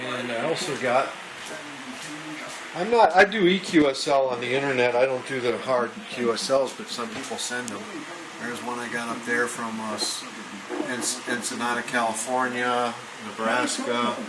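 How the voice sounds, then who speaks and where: A man's voice: medium pitch, moderate pace. A man giving an unscripted, rambling show-and-tell as an amateur radio hobbyist, chatting casually. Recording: noisy room, shortwave radio playing. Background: shortwave radio broadcast.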